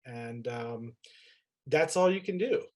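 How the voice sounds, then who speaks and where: Informal man with a mid-pitched voice. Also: lively intonation, slow pace. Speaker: guest speaker, teacher. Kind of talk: casual, unscripted panel talk.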